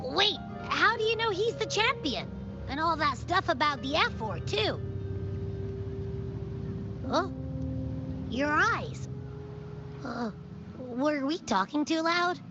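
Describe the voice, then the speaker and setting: A woman's voice: high voice, sing-song, takes it slowly, pauses often, exaggerated voice. A woman voicing a small, chirpy, curious girl companion in a fantasy video game, chatty and informal. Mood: puzzled. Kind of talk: animated fantasy game dialogue. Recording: muffled game audio under music.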